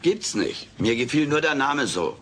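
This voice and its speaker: A man's voice: mid-range voice, very animated, moderate pace, a bit bright, a little hiss or hum. A man voicing a brash, wisecracking furry alien in a sitcom audio play, theatrical, pompous and cheeky. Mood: brash, showy. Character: brash wisecracking furry male alien playing hotel host.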